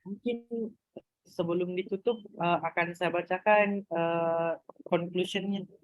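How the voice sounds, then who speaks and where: A woman moderating an online class, reading a lesson summary in a hesitant, halting way with many fillers. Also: on the low side, fairly even intonation, dry recording, highs cut off. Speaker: student moderator.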